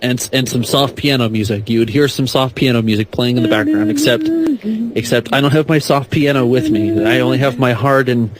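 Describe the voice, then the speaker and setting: A man's voice: mid-range voice, fairly quick, a little hiss or hum, poor audio. A man doing a comic reading of fan fiction, mock-dramatic with playful, chatty asides. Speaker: podcast guest or panelist reading narration.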